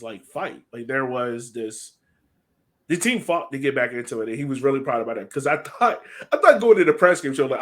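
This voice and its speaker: A man co-hosting a soccer podcast in an informal, conversational way, chatting casually about the game. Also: mid-range voice. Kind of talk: casual sports chat.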